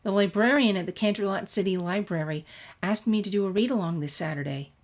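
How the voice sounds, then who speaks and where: A woman's voice: mid-range voice, brisk, close to an everyday voice. Woman as an earnest bookish teenage girl. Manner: earnest, polite.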